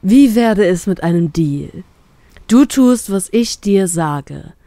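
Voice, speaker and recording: A woman's voice: mid-range voice, animated, slow, warm tone, dry recording. Woman acting a sly male pirate, domineering, teasing, commanding, audio story, dry close recording.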